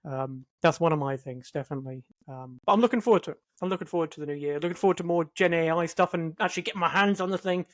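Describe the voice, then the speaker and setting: A man with a somewhat high voice co-hosts a podcast. He chats casually and comes across as agreeable and easygoing. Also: racing, few pauses. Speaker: podcast co-host. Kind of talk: casual chat.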